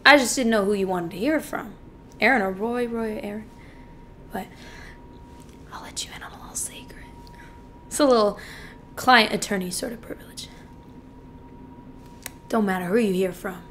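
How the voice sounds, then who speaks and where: A woman's voice: a bit bright, lightly acted. A woman acting out a dramatic film monologue as a manipulative, theatrical killer talking to a lawyer. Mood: sly. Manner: foul-mouthed, taunting, theatrical, halting. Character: manipulative killer client, meek at first, then gloating and mocking. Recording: faint noise and low rumble.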